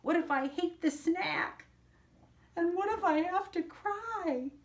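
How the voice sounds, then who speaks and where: A high, fretful little mouse girl from a storybook, acted out by a woman reading aloud. She worries over everything and poses each fear as an anxious question, with her pitch lifted well up. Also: a little hiss or hum. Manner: worried rapid-fire what-if questions. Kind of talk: children's picture book read-aloud.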